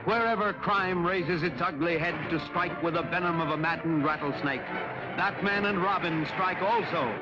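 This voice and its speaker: A man's voice: fairly high pitch, moderate pace, muffled recording, close to an everyday voice. An American man playing a grandiose, urgent announcer narrating a 1940s movie serial in a dramatic, declamatory way. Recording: band-limited vintage 1940s film soundtrack. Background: loud orchestral music.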